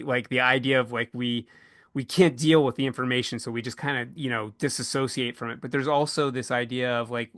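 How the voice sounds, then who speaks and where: A man with a very bright, forward voice at a middle pitch moderates a casual podcast panel. He thinks out loud, doubling back on words and restarting phrases. The sound is dry and close, with little room around it. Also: creaky, no echo. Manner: halting, repeats words as he thinks aloud.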